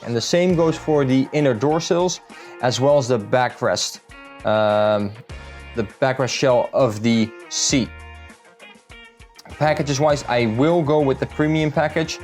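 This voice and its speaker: A man's voice: medium pitch, a little mellow. A man from the Netherlands, talking through a car build in a casual, matter-of-fact vlog style as a salesman would.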